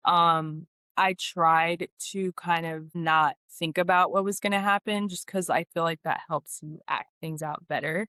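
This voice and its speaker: A woman's voice: low voice, fairly bright tone, clear, resonant tone, no echo, clean studio sound. A woman speaking as an actress guest in a casual podcast interview, often saying "like" and quoting her own reactions.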